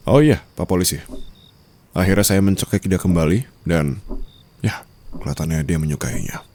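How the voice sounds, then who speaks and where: A man's voice: normal speed, a little mellow. A man playing a dominant, menacing rich husband in an ASMR roleplay, murmuring taunts intimately and slipping into whispers and English endearments. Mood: mocking. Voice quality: very deep, gravelly. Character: dominant, menacing wealthy new husband toying with treacherous bride.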